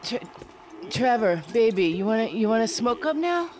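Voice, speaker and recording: A drug-using young woman with a high, lilting voice. Her pitch swoops about as she coaxes and cries out. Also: very animated, moderate pace, darkish tone. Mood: coaxing. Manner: coaxing, then shrill pleading. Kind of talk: video game cutscene acting.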